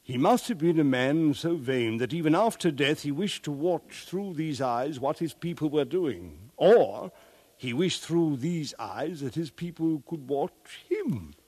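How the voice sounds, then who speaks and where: Slow, solemn scholarly man. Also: a little high, lively intonation, a little mellow, husky, dry recording. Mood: grave, pompous. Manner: pompous, musing, pedantic. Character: solemn scholarly male archaeologist of the far future. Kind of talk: 1950s radio drama acting.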